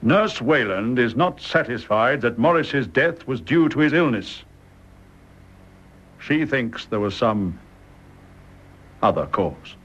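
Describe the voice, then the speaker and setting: A man's voice: mid-range voice, animated, slow, pauses often, a bit bright. A man with a British accent playing a courteous, upright major in a radio drama, polite and formal. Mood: grave. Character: courteous, upright retired police major, protective of family. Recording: dull, muffled radio drama recording.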